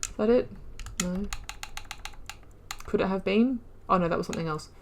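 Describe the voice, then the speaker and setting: A woman's voice: medium pitch, moderate pace, vocal fry, a little hiss or hum. A woman casually commenting on a game playthrough, thinking aloud, trailing off and correcting herself as she reads through in-game notes. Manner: musing, thinking aloud with fillers and self-corrections. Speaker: gaming video creator.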